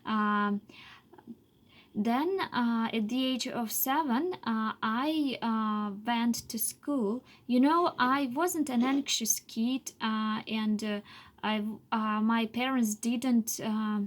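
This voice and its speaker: Woman, late 20s, slow halting english full of uhs, bit of a high voice. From Russia. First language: Chuvash. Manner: halting, many filler pauses and restarts. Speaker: English learner telling her life story. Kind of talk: unscripted personal monologue.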